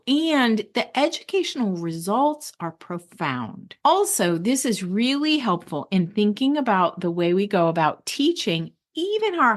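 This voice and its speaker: A middle-aged woman hosting a podcast, reading from prepared notes in an expressive, explanatory way with emphatic stresses. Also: mid-range voice. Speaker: podcast host. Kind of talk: scripted solo podcast talk on homeschooling.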